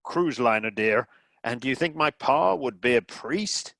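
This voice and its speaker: Guy doing a loud bossy rich american husband, sharp voice, swoops around, sarcastic, radio comedy. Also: mid-range voice, expressive, gravelly, no echo. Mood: blustering. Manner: brash, mocking, quick to threaten. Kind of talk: comedy radio play acting.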